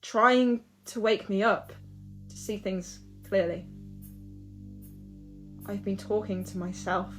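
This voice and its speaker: An actress playing a frightened, guilt-ridden young woman in an audio drama, speaking in halting, broken-off phrases. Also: mid-range voice, natural voice, low-quality recording. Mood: subdued, remorseful. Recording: music behind.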